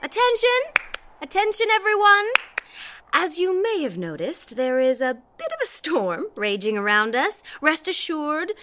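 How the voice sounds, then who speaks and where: High-voiced, gracious society hostess. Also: female voice, very animated, a little slow, a bit bright, slight background noise, full character voice. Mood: commanding, gracious. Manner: polished, theatrical, genteel. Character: gracious, controlling society hostess, wife and mother. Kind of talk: audio drama acting. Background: music.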